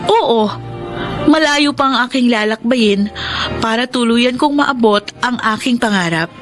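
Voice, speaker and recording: An actress playing a hopeful, earnest teenage honor student giving a monologue in a Filipino radio drama. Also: female voice, fairly high pitch, unhurried, close to an everyday voice. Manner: earnest, scripted. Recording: radio drama with music behind.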